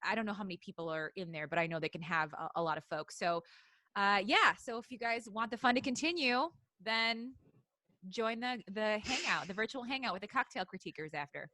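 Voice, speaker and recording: A woman's voice: mid-range voice, fairly quick, sharp tone, no echo. A woman co-hosting a casual live podcast in a playful, enthusiastic way. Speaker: podcast co-host.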